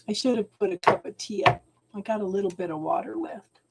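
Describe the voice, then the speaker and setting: A woman doing a live tarot reading at home, talking slowly and soothingly, often checking in with "okay?", and clearing her throat as she loses her voice. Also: mid-range voice, very noisy. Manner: slow, soothing, keeps adding "okay?". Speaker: tarot reader.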